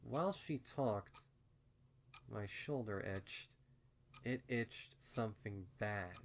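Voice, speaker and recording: A man reading a first-person fan fiction story as narrator, in a measured, subdued manner. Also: lowish voice, slight background noise.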